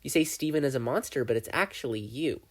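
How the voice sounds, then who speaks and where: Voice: medium pitch, animated, quick pace, natural voice. A reader voicing a gleeful, menacing male triangle dream demon who taunts and mocks, in a fan fiction audiobook. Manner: taunting, theatrical, mocking.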